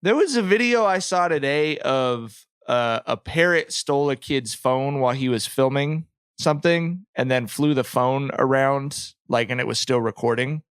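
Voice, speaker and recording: A man's voice: medium pitch, normal speed, few pauses, clean tone, no room sound. Dry-miked man in casual podcast banter. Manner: profane, wry humour. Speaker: podcast host. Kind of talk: casual comedic podcast chat.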